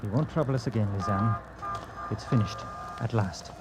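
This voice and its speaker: An actor playing a smooth, controlling man in an old-time radio murder mystery. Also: lowish voice, normal speed, loud music behind the voice, lightly acted. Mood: soothing. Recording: noisy old radio recording.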